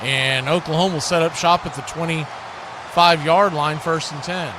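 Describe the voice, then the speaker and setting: Male play-by-play host, somewhat high voice, switching between game calls and casual chat, clear background noise. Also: steady tone, normal speed. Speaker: radio host calling play-by-play. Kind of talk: sports play-by-play commentary mixed with casual chat.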